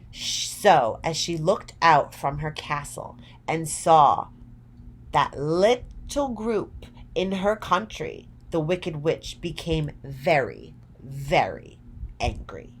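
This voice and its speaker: A woman narrating a children's story from a script, deliberately, phrase by phrase. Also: sits low, fairly bright tone, slight background noise.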